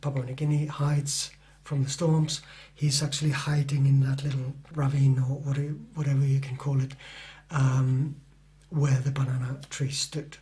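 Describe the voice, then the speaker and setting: A man's voice: medium pitch, little pitch movement, normal speed, hardly any pauses, dark tone. Middle-aged author guy, english not his first language, lots of ums and restarts, unsure delivery. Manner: hesitant, many fillers and restarts. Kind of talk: interview answers.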